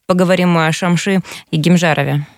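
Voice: medium pitch, steady tone, a little fast, no room sound, studio quality. A radio host politely guiding an interview with questions.

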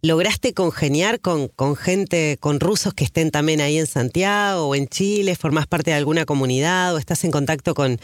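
A woman's voice: low voice, moderate pace, few pauses, no room sound, studio quality. A woman hosting a program, speaking. She interviews in a chatty way, with many affirming interjections. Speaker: radio program host. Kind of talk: conversational interview.

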